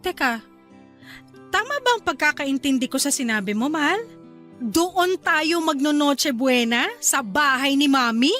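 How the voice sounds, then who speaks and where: A woman playing a cheerful young wife and new mother in a radio drama, talking in a bright, chatty and eager way. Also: high-pitched, lively intonation, measured pace, faint music behind. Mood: taken aback.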